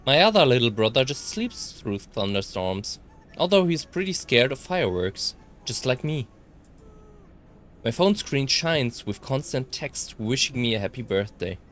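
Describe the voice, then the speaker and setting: A German man with a German accent reading first-person visual novel text aloud as a let's player, in an expressive way. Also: mid-range voice, measured pace, faint music behind.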